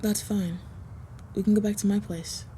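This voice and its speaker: Female vampire, acted by a woman, mellow, even-toned, gentle, hesitant, protective, intimate roleplay audio. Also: mid-range voice, moderate pace, a little hiss or hum, natural voice. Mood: gentle, awkward. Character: protective, slightly tsundere female vampire, softly smitten.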